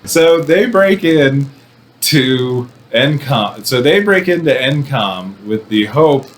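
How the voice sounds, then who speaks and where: Casting: male movie reviewer, effusive and rambling, mid-pitched voice, faint noise behind him. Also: animated, slow. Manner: effusive, digressive, with playful mock-combative asides. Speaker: film reviewer presenting a show. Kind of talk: casual, enthusiastic film commentary.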